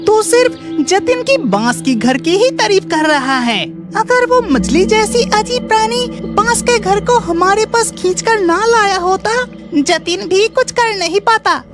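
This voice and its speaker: Woman doing argumentative villager in a kids cartoon, super high voice, loud music. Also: lively intonation, a little slow. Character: contrary, argumentative flood-rescued villager. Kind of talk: children's cartoon story acting.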